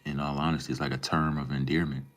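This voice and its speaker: Very deep-voiced laid-back male streamer. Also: fast talker. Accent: Minnesota. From Minneapolis, Minnesota. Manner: laid-back, relaxed slang, calls viewers bro and man. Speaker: livestreamer. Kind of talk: casual live stream chat, reading and answering viewer comments.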